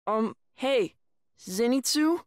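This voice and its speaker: A woman's voice: on the high side, animated, takes it slowly, no echo, studio quality. A woman voicing a blunt, sceptical, unimpressed young boy in an anime dub, matter-of-fact in manner. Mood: hesitant, polite.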